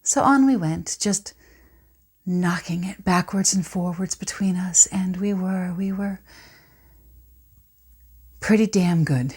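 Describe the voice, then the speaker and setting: A woman playing a reflective, intense stage actress in a theatre monologue, speaking deliberately with dramatic pauses. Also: on the low side, a little mellow, slightly rough. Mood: excited recollection. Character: poised stage actress recalling past performances, intense and reflective.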